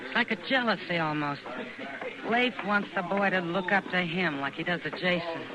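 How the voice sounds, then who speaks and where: Actress doing old radio western saloon lady, worldly and wry, relaxed talk, crowd noise in back. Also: on the low side, normal speed, close to an everyday voice, poor audio. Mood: reflective. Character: worldly, easygoing frontier saloon woman, adult.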